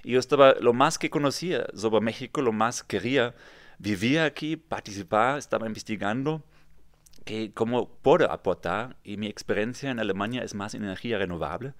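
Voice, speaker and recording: A man's voice: lowish voice, fairly quick, no room sound, clean studio sound. A German man in his thirties with a German accent, telling his story as a podcast guest in a small recording booth, sometimes searching for words and repeating himself. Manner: earnest storytelling, occasional word searches and repeats. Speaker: guest, real estate developer. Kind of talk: podcast interview.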